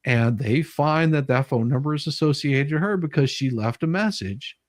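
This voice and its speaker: A man's voice: a little high, animated, normal speed, dry recording, clean studio sound. A man hosting a casual live stream, explaining legal paperwork in an emphatic, sweary way. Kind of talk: casual commentary on a legal document.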